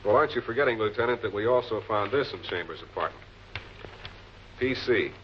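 A man playing a composed, probing public prosecutor in 1940s television crime drama style, measured and politely pressing. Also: on the low side, lively intonation, natural voice. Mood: measured, explanatory. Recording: muffled, noisy old film soundtrack.

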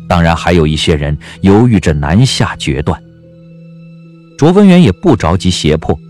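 Low, expressive male storyteller. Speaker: audiobook narrator. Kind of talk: dramatic scripted narration of a period novel. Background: background music.

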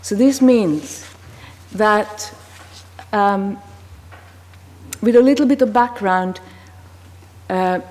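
Finnish accented lady in her 50s at a desk mic, talks real slow and careful, room has a long echo. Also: medium pitch, a little mellow. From Finland. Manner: slow and careful, with small fillers and restarts. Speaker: panel speaker. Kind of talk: prepared talk at a panel. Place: committee room in a parliament building. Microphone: desk microphone. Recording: long reverb in a large room.

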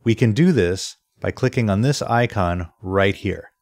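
A man presenting an instructional software screencast in a methodical, step-by-step way. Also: lowish voice, measured pace, dry recording, clean studio sound. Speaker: tutorial presenter.

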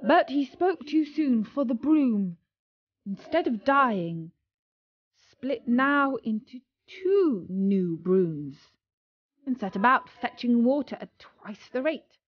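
A woman tells a children's story slowly and expressively, with deliberate pauses. Her voice is a little high, and the recording is slightly dull with music. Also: big pitch swings, dry recording. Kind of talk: scripted children's story narration.

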